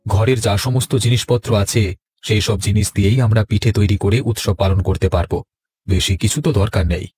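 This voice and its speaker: A man's voice: fairly low pitch, fairly even intonation, a little fast, a little mellow, breathy edge, dry recording. A man voicing a kindly, worried older village landlord in a cartoon, earnest and paternal. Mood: warm, agreeable. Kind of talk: Bengali fairy-tale cartoon dialogue.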